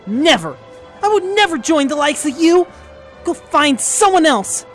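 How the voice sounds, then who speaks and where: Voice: high voice, big pitch swings, a little slow, a bit bright, exaggerated voice. A performer playing an earnest, defiant boy superhero sidekick in an audio drama. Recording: long reverb, music behind.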